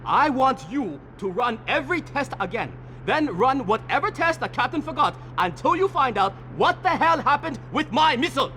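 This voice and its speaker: A contemptuous game villain colonel, pitched very high for a male. The tone is sharp and forceful, clipped with bitterness and command. Faint noise runs underneath. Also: very animated, normal speed, hardly any pauses, exaggerated voice. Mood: furious. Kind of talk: video game voice acting.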